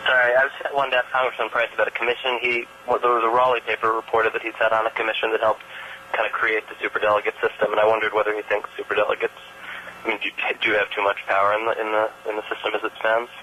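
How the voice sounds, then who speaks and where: Male reporter on a press call. Rapid, informal, rambling, bright voice over a slightly noisy phone. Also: lowish voice, monotone. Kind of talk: asking questions on press conference call. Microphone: telephone.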